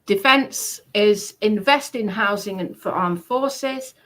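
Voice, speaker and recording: A woman with a British accent and a bright, forward voice at a middling pitch. She reads through points as a casual presenter, explaining as she goes and sometimes halting mid-list. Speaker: presenter going through party policies. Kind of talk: casual talk, reading aloud from notes.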